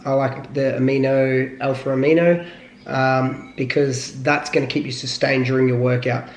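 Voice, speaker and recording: A man with a slightly hoarse voice, talking casually to the camera as a fitness vlogger, rambling with lots of fillers. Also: mid-range voice, roomy sound, a little hiss or hum. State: hoarse from illness.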